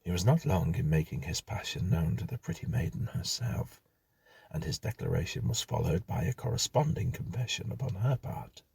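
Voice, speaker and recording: A man reading a gothic story as an audiobook narrator, in a gravelly, husky voice with a measured, dramatic, formal literary delivery. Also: low voice, huge pitch swings, darkish tone, dry recording.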